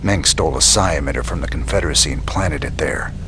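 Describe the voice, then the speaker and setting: An actor playing a weary, gruff rebel leader in a video game cutscene, with a folksy Southern American drawl. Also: male voice, a bass voice, fast, music in the background. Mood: grim, bitter. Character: weary, gruff rebel leader, middle-aged male ex-marshal. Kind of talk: cinematic game voice acting.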